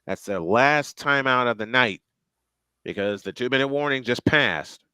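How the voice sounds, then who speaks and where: Bright-voiced man calling a football game. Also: medium pitch, animated, unhurried, smooth, no echo. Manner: chatty, thinking aloud between plays. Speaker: play-by-play commentator. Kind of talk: live football commentary.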